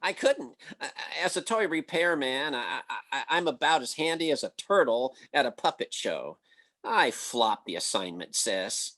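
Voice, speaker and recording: A man playing a blustering but soft-hearted husband in an old-time radio comedy, folksy, excitable and bumbling. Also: mid-range voice, normal speed. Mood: sheepish.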